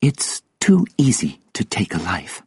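A man voicing a solemn, grieving woman from Africa in an audiobook story, speaking softly and deliberately, sometimes nervous and guarded. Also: lowish voice, wildly swooping pitch, slightly rough, dry recording, clean studio sound, voice pushed up, full character voice. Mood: firm, hurt.

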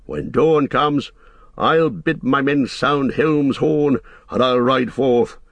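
A man's voice: medium pitch, slow, dry recording, dull audio, voice pushed up. A man reading the part of a proud, weary old king who speaks with grave, stately resolve. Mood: defiant.

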